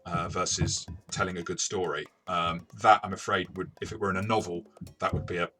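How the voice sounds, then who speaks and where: A man with a fairly low, bright voice talks through a casual review as a game master and host. He is thoughtful and often reworks a sentence midway. Faint music plays behind him. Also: steady tone, brisk.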